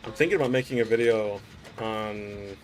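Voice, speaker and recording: A man with a raspy, hoarse voice from a recent cold, chatting casually to his viewers while playing a game on a live stream. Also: on the low side, moderate pace, slight background noise, low-quality recording. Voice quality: raspy throat. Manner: laid-back, chatty, slangy asides to chat. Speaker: gaming streamer. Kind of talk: casual live gameplay commentary.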